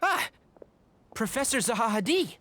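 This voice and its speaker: A man's voice: very high-pitched, very animated, normal speed, pushed and bright, a little hiss or hum, full character voice. A man voicing a composed, perceptive young scholar in a video game, with a courteous, inquisitive manner. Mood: surprised. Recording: game music behind the voice.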